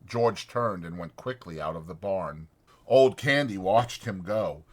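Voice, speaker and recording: A man's voice: sits low, lively intonation, measured pace. A man reading a story as an audiobook narrator, in a dramatic scripted style.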